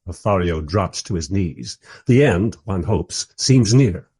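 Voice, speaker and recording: A man's voice: deep voice, animated, slow, darkish tone, husky, full character voice. A man playing a wry, bemused first-person narrator in a radio play, telling the story in a dry, literary way. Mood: dryly amused.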